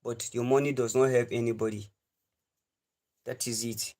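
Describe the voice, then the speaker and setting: A man's voice: medium pitch, level tone, moderate pace, a little mellow. A man in his twenties with a Nigerian accent, hosting a livestream and giving an earnest, repetitive lecture to his viewers. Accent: Nigerian English. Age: 20s. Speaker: live stream host. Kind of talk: preachy livestream monologue.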